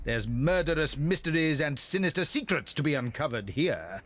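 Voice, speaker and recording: An actor playing a pompous, plummy British narrator of an old-time adventure serial, melodramatic and theatrical in a video game. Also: male voice, a little high, expressive, moderate pace, very noisy, close to an everyday voice. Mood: alarmed, excited. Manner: plummy, melodramatic, heavily alliterative delivery. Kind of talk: theatrical 1930s newsreel-style game narration.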